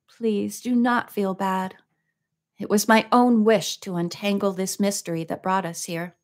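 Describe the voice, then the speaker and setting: A woman's voice: medium pitch, fairly even intonation, a little slow, natural voice. A woman voicing a plucky, earnest young woman detective in a storybook reading. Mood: gentle, reassuring.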